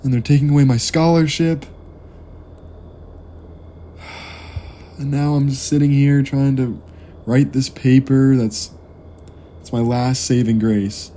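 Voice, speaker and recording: Man as a very mellow, discouraged student. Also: mid-range voice, fairly even intonation, takes it slowly, pauses often, slight background noise, lightly acted. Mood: downcast. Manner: hesitant, sighing, subdued. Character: discouraged, self-doubting young male university student. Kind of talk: naturalistic audio drama acting.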